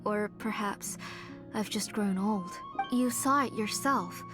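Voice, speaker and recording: A woman playing an aging, devoted mother and craftswoman in a video game, speaking gently, earnestly and in a measured way. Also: medium pitch, lightly acted. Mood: weary, determined. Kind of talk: English video game voice acting. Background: game music.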